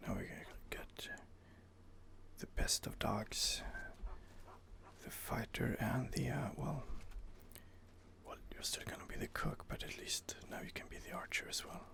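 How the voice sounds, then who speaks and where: A man softly whispering ASMR commentary on a fantasy game he is playing, rambling and hesitant, close to the microphone. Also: mid-range voice, measured pace, vocal fry. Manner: soft-spoken, rambling, hesitant. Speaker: gaming channel host.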